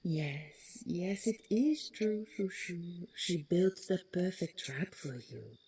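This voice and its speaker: A woman playing the vain, taunting ghost of a deposed dragon queen in an audio roleplay, speaking theatrically and mockingly with a breathy voice that slips into whispers. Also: expressive, slow, mellow, full character voice. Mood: sly, insinuating. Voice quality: low, breathy, husky, creaky. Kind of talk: dramatic audio roleplay monologue.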